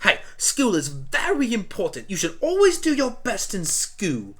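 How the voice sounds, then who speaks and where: A woman's voice: medium pitch, wildly swooping pitch, unhurried, slightly rough, voice pushed up, exaggerated voice. A woman voicing an eccentric, kindly male alien pony doctor, musing theatrically in a fan radio play. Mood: enticing.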